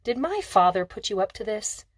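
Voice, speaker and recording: A woman reading the part of a sharp, guarded teenage girl in a storybook, dry and exasperated in manner. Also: medium pitch, sing-song, normal speed, dry recording, voice pushed up. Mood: exasperated sarcasm.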